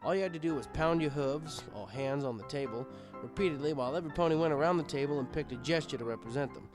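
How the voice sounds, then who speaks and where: A man's voice: on the high side, quick pace. Man narrating a story over music. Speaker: audiobook reader. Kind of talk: scripted first-person story narration.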